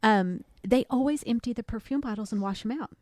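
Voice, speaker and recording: A woman's voice: fairly high pitch, fairly quick, darkish tone, no echo, clean studio sound. A woman co-hosting a podcast, chatting casually with a group. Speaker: podcast co-host.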